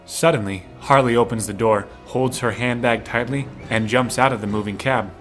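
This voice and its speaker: A man's voice: mid-range voice, steady tone, moderate pace. A man narrating a scripted audio drama in the present tense. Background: music.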